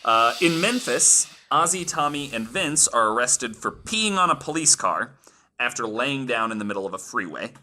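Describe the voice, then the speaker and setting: A man's voice: medium pitch, very animated, normal speed, bright, forceful tone. A man hosting a casual podcast, telling stories from his notes in an informal, amused, sweary way. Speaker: podcast host.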